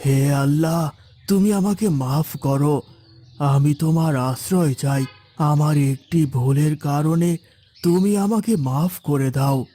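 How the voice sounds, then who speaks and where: A man's voice: a little high, slow pace, dark tone. A man playing a humble, pious, anxious old villager in a moral cartoon, speaking in a prayerful, pleading way. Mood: remorseful, pleading. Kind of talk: Islamic moral cartoon voice acting.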